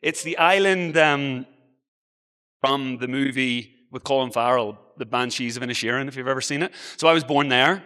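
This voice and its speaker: Irish male speaker, early 40s, for a conference talk: bright, forceful voice, informal and self-mocking, heard in a big echoing hall. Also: mid-range voice, moderate pace, clean tone. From Ireland. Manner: informal, self-deprecating, conversational. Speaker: travel blogger and guest speaker. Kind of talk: motivational conference talk, personal storytelling. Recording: reverberant hall, long echo.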